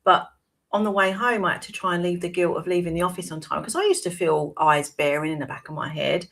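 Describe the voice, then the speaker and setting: A hoarse, croaky woman in her fifties hosts a live-streamed talk show. Her voice sits a little low for a woman. She chats casually and keeps checking in with her viewers. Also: fast, fairly bright tone. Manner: chatty, tags points with "right?". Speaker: wellbeing coach and show host.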